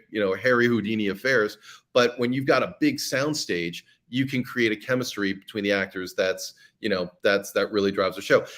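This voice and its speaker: A man being interviewed as a guest, a fiction podcast producer answering questions with enthusiasm. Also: mid-range voice.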